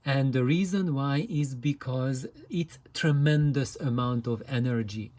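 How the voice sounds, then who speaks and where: Slow, mellow-voiced man explaining. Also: medium pitch. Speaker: presenter of hobbyist audio videos. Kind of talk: unscripted explanatory demonstration.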